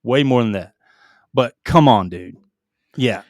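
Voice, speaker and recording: A man's voice: medium pitch, animated, measured pace, a little mellow, no room sound, studio quality. Man reading gaming news on a podcast. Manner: reads headlines aloud with asides and stumbles on names. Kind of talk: casual gaming podcast chat.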